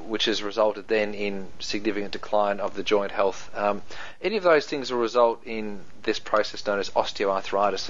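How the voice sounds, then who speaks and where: A man's voice: mid-range voice, a bit bright. A man with a New Zealand accent, a podcast guest explaining health matters in a casual way with many fillers and easy laughter. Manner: laughs easily, jokes, many fillers and restarts. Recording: dull lo-fi sound.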